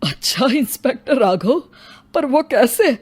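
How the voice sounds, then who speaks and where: A woman's voice: sits high, lively intonation, unhurried, a little mellow, husky, pitched above the performer's own voice, heavily acted. A woman playing a grieving, weary old father in a dramatized story, speaking plaintively and respectfully. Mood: puzzled. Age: older. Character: grieving, weary elderly father, polite and sorrowful. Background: music.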